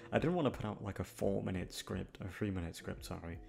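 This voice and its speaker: A young man talks casually to his listeners in a low, gravelly voice. He rambles hesitantly and puts himself down, swearing a little, with faint music behind him. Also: fairly quick, a little mellow. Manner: hesitant, self-deprecating, mildly sweary. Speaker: audio roleplay creator.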